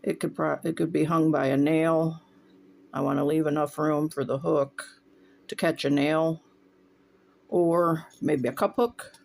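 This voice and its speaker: A man's voice: on the high side, a little slow, gravelly. A man from Northwest Ohio, casually explaining stained glass steps as he works in his home studio and thinking aloud between tasks. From Northwest Ohio, USA. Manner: relaxed, talks while working, thinks aloud. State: runny nose, voice briefly faltering.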